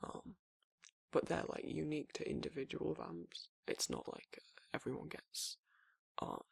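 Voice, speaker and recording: Man acting a very gravelly werewolf boyfriend. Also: on the high side, no room sound, exaggerated voice. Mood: musing, rambling. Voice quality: gravelly, husky. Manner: halting, rambling, casual swearing. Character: gruff, laid-back male werewolf boyfriend explaining monster lore. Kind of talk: intimate ASMR roleplay monologue.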